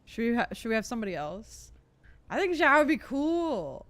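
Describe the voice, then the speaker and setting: A woman giving casual gameplay commentary as a streamer, thinking out loud and often second-guessing herself in a giggly way. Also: on the high side, very animated, normal speed. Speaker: game streamer. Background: game music and character voice lines.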